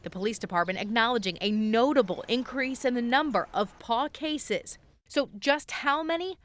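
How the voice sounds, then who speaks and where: A woman's voice: fairly high pitch, normal speed. A woman with an American accent reads scripted investigative news narration as a TV reporter.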